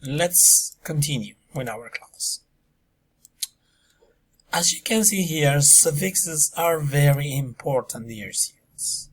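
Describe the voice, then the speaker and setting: Male English teacher, husky tone, unhurried delivery. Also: a little high, lively intonation. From Peru. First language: Spanish. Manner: slow, drawn-out words for teaching. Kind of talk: recorded online English lesson.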